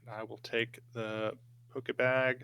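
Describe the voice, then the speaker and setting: A man's voice: medium pitch, little pitch movement, a little slow, sharp tone, clear, resonant tone. A man playing a tabletop game, talking casually with the others. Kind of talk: casual chat.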